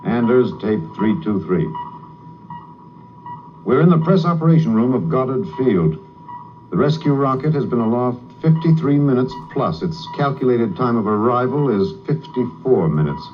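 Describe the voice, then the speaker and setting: An actor playing an earnest, excitable radio news reporter in a 1950s radio drama. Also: male voice, medium pitch, moderate pace, natural voice. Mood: tense, hushed. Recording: dull, noisy 1950s radio recording.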